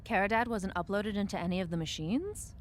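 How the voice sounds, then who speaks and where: A woman playing a detective's inquisitive companion in an audio drama, with a casual, expressive way of talking. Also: medium pitch, fairly quick, a little hiss or hum, lightly acted.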